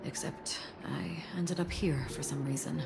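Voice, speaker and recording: A stately woman wanderer from a video game, with a notably low voice. She speaks formally and at polite length, earnest and unflustered. Music plays clearly behind her. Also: steady tone, measured pace, exaggerated voice. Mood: polite, relieved. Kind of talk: video game character dialogue.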